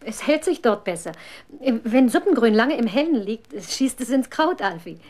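A woman's voice: on the high side, animated, a little mellow. An actress playing a playful mother of a schoolboy in a 1970s German children's radio play, speaking theatrically. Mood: matter-of-fact. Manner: slow, playful, theatrical delivery.

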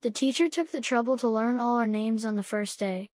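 Higher-pitched woman reading test sentences. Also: fairly even intonation, fairly quick, darkish tone, no echo. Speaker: test prompt reader. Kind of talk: scripted example sentences read aloud.

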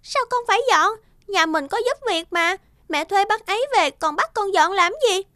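A woman's voice: very high-pitched, moderate pace, bright, forceful tone, no echo, studio quality. A woman playing a spoiled, bossy little girl in a cartoon, with a whiny, demanding manner. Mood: sulky. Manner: bratty, demanding. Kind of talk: cartoon dubbing.

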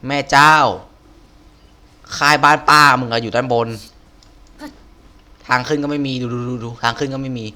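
A man's voice: medium pitch, steady tone, normal speed, pauses often, sharp tone, clear, resonant tone. A man giving casual, slangy, exclamatory gameplay commentary, often talking to himself as he plays. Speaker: gaming video host playing through a game.

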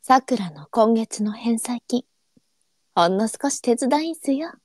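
A woman playing a gentle, teasing senior courtesan, an indulgent big sister with elegant old brothel speech. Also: fairly high pitch, lively intonation, slow pace, no echo, studio quality. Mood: teasing, kind.

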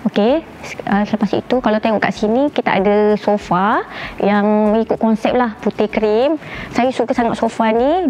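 A middle-aged woman with a Malaysian accent giving a casual, chatty tour of her house, often pausing to search for words. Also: on the high side, darkish tone. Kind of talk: casual guided home tour to camera. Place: indoors, rooms of a furnished house. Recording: slightly dull sound with faint background noise.

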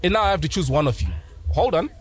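A young man co-hosting a podcast who argues his points hard, speaking casually on a boom mic at an outdoor night set. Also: expressive, quick pace, faint music behind. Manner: argumentative, presses his points. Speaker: podcast co-host. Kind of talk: casual debate. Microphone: headphones and studio boom mic.

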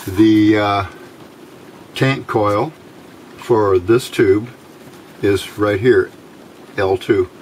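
A middle-aged man giving an informal hands-on tutorial in halting short phrases, pausing often as he explains. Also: on the low side, poor audio. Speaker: electronics hobbyist demonstrating a homemade circuit. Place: indoors at a workbench. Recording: steady clear background noise.

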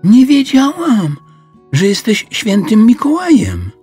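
A man voicing a curious, excited little girl in a children's storybook, eager and questioning. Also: sits high, takes it slowly, soft background music, voice pushed up, exaggerated voice. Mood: astonished.

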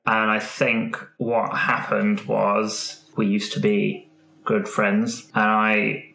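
Lower-voiced man, echoey room. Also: monotone, slow, gravelly, pushed and bright. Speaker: player in an improvised roleplaying game. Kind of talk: casual improvised table talk. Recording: roomy, echoing indoor sound.

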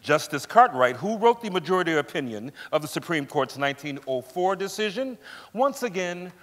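A man's voice: on the high side, very animated, moderate pace, a bit bright. A man playing a formal narrator, giving emphatic scripted narration in a stage reading. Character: formal male narrator of a court history. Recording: reverberant theatre hall.

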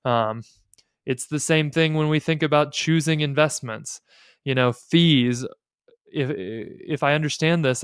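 An American man around his late thirties hosting a podcast, explaining things in a measured, thoughtful way with pauses between phrases, close on a studio mic. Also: mid-range voice, smooth, dry recording. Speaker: podcast host. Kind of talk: explanatory podcast talk on personal finance and faith. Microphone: close studio mic on a boom arm.

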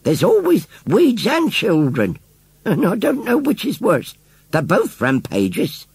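A man's voice: high voice, wildly swooping pitch, a little slow, creaky, a little mellow, breathy edge, voice pushed up, exaggerated voice. A man voicing a suspicious, grumbling old village woman in a storybook, with rustic, grudging speech. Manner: rustic dialect grammar, grudging. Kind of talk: storybook character voice.

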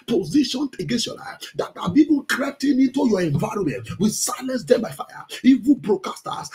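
A man with a Nigerian accent, a pastor leading fervent Pentecostal prayer in forceful, repeated declarations with a strained, gravelly voice. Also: very high voice. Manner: forceful, repetitive chanting declarations.

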